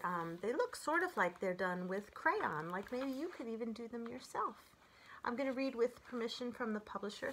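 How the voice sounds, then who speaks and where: A woman in her forties reading children's poems aloud and chatting between them in a gentle, expressive way, as a storyteller speaking to young children. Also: mid-range voice. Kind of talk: read-aloud of children's poems with casual commentary. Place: small furnished room at home, indoors.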